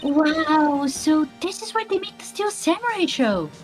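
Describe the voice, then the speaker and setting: A woman doing a bubbly, excitable teen girl. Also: a little slow, over music. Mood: excited. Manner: high, gushing, eager.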